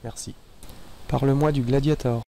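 A man's voice: medium pitch, steady tone, moderate pace, gravelly, mellow, slight background noise. A man giving short, casual spoken commands to a voice assistant.

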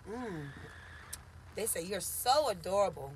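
A woman's voice: medium pitch, lively intonation, measured pace, a little hiss or hum, poor audio. A woman in her forties hosting a casual live stream from a parked car, chatting and teasing and greeting viewers by name. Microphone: phone camera at arm's length.

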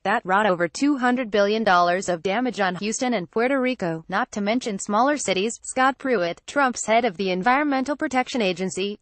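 Female reader for an op-ed; steady, measured, emphatic pauses, dry booth sound. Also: medium pitch, no echo. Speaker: reader of an opinion column. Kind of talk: read-aloud opinion column.

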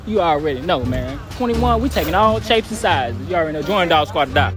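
A man's voice: a little high, animated, brisk. A man hosting casual, slangy street interviews, hyped and repeating catchphrases. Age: 20s. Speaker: street interviewer, vlog host. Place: outdoors at a car show field. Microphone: handheld device held to mouth. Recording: music behind outdoor handheld recording. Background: music.

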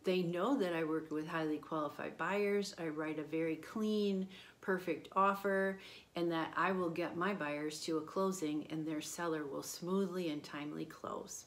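A woman in her fifties speaks straight to the camera from a room at home, her voice sitting a little low. She works through her points in order with steady confidence, like an agent pitching her services. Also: unhurried. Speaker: real estate agent. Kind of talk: promotional talk to camera. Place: indoor home room by a window.

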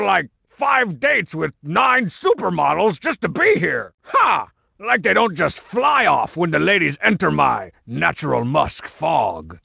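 A swaggering cartoon villain from a video game, juvenile and full of himself. The voice is heavily gravelly and forceful, swooping up and down as it brags and mocks in slangy tough-guy style. It comes across as broad, exaggerated comic acting. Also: on the high side, no room sound, heavily acted. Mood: bragging. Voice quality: raspy, gravelly growl. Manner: bragging, mocking, slangy tough-guy delivery. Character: cocky, boastful cartoon wrestler-masked villain, juvenile troublemaker.

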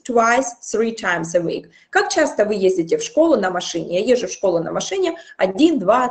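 Woman, 30s, language teacher, patient explanations, slow repeated examples, webcam in small room. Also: medium pitch. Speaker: English teacher. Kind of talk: recorded online grammar lesson.

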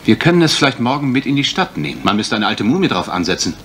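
An actor playing a wry, puzzled husband and amateur treasure hunter in a German radio crime drama, with a dry, teasing delivery. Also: male voice, medium pitch, very animated, quick pace, a bit bright. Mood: pondering. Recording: faintly noisy vintage radio recording.

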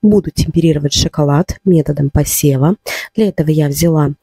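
Home vlogger, woman, slightly ill and a bit hoarse, calm step-by-step voice-over, warm dry voice. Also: deep voice, brisk, no room sound. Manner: calm, instructive, explains step by step. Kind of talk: voice-over narration of a cooking and decorating vlog.

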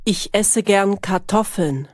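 Slow, clearly spoken woman narrator. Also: medium pitch, no room sound, clean studio sound. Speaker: language lesson narrator. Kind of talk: slow, clearly articulated example sentences, each said twice.

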